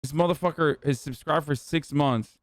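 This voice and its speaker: A man's voice: fairly high pitch, normal speed, dry recording, studio quality. A young man from Turkey doing casual livestream commentary, ranting loosely and swearing for emphasis. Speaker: streamer reacting to a video.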